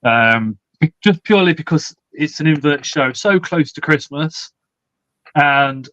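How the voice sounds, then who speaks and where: A man's voice: a little high, lively intonation, unhurried, a bit bright, dry recording, highs cut off. A man, hosting a casual live stream, reading out comments and swearing casually. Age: 40s. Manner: chatty, swears casually, laughs often. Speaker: livestream host.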